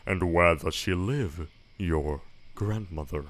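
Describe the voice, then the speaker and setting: A man's voice: sits low, slow pace. A man voicing a sly, hungry male wolf in a storybook, crafty and wheedling. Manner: crafty, wheedling, rising to loud growls. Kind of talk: storybook read-aloud.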